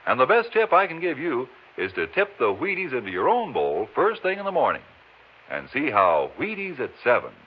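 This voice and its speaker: A man's voice: mid-range voice, wildly swooping pitch, normal speed, lo-fi sound, a little hiss or hum. A man delivering a chatty, folksy scripted advertisement on 1950s radio. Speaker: commercial pitchman. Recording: thin, band-limited old radio recording.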